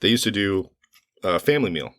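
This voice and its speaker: A very low-pitched man talking on a podcast, his voice a little hoarse. He rambles casually with fillers and restarts. The sound is dry and close to the mic. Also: big pitch swings, fairly quick, a bit bright, no echo. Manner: rambling, with frequent fillers and self-corrections. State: slightly hoarse from allergies. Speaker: podcast co-host.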